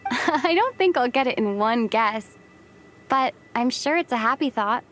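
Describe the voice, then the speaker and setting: Kind young heroine, high gentle voice, slow delivery, calm adventurer in a game cutscene. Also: female voice, faint music behind. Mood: light, fond. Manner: gentle, unhurried. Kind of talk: video game cutscene acting.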